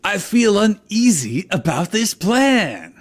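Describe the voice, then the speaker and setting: Anxious, servile general for comic audio theatre, male performer, raised voice, very animated, pleading with his master. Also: sits high, slow pace, voice pushed up, exaggerated voice. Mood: uneasy. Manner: deferential, pleading. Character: eager, uneasy general serving a dark lord, cereal-mascot voice.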